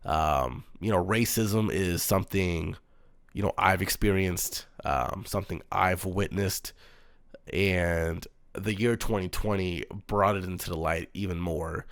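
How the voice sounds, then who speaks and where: Deep-voiced guy from the us doing a solo sports talk show, flat monotone, dry close sound. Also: measured pace, dry recording. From United States. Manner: monotone, calm and earnest with frequent "um"s.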